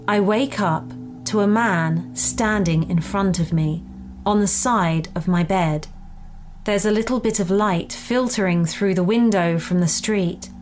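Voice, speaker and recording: A woman's voice: mid-range voice, a little mellow. A woman reading a scripted first-person story aloud in a conversational way, as a storyteller. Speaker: story reader.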